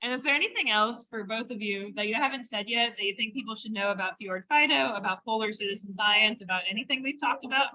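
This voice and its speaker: A woman's voice: on the high side, fast talker, hardly any pauses, sharp tone, rough recording. A young woman hosting an interview over a video call, enthusiastic and upbeat. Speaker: interview host. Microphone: laptop mic on video call.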